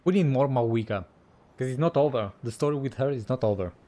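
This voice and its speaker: A man's voice: mid-range voice, animated, a little fast, dark tone. A man giving casual, laid-back reactions as he plays a game, with game music behind him. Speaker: gaming streamer. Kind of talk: casual game playthrough reaction, reading along. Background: faint game music.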